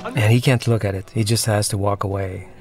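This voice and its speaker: Gravelly low-voiced man giving film commentary. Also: fairly even intonation, over music. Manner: halting, searching for words, many restarts. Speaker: film director.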